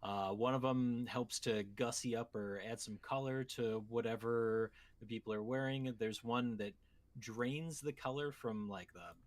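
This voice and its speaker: A man's voice: mid-range voice, unhurried. Man chatting casually about games. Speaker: podcast co-host. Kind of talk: casual video game chat.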